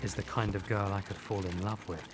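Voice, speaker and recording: A man voicing a kindly, commanding king with a courtly manner in an animated fairy tale. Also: lowish voice, fairly quick, lightly acted. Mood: tender, smitten. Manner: courtly, authoritative. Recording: loud music behind.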